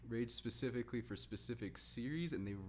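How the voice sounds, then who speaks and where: A man's voice: medium pitch, quick pace, slight background noise. A man hosting a casual podcast chat, rambling with a fan's enthusiasm. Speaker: podcast host.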